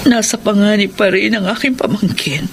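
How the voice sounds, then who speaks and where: An actress playing a sorrowful, devout middle-aged aunt in a radio drama. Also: female voice, mid-range voice, lively intonation, normal speed, creaky, music in the background. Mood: tearful prayer. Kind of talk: emotional radio drama acting.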